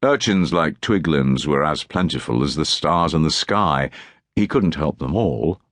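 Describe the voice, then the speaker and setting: A man's voice: extremely low pitch, lively intonation, a little slow, fairly bright tone, no room sound, clean studio sound. A man reading historical fiction as a scripted audiobook narration.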